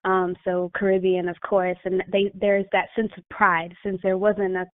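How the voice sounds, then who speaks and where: A woman's voice: mid-range voice, little pitch movement, moderate pace. Woman talking over a tinny phone line. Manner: thoughtful, with many fillers and restarts. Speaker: guest life coach. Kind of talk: casual interview talk.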